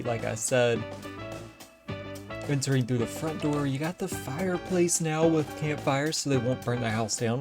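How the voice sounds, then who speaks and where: A man casually talking through his building work in a video game, easygoing and thinking aloud, his voice a little raspy from allergies. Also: mid-range voice. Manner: relaxed, easygoing self-talk while building. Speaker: gaming channel host. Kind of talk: casual gameplay commentary. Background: faint background music.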